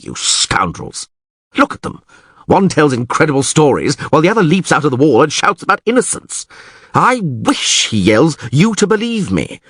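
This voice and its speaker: Guy voicing a bossy blustering mayor in a fantasy audiobook, exasperated, pitch jumping all over. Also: fairly bright tone, dry recording, studio quality. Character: blustering, foul-mouthed male town mayor.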